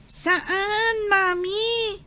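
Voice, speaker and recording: A woman's voice: extremely high pitch, fairly even intonation, drawn-out words, sharp tone, heavily acted. A woman voicing a curious, innocent young child with an Ilocano accent, questioning the grown-ups in a radio drama. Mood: curious, wistful.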